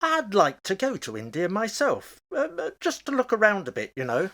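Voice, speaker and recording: A man's voice: high voice, wildly swooping pitch, normal speed, a bit bright, full character voice. Guy acting a friendly curious dad in a radio drama, polite n hesitant, voice goes up and down a lot. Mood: curious, wistful. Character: genial, curious father, a homely host. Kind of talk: amateur radio play acting.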